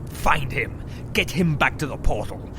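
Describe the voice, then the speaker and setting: A man's voice: animated, unhurried, lightly acted. An actor playing a commanding, contemptuous male leader of invaders in an audio drama. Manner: commanding, imperious. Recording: noisy background rumble.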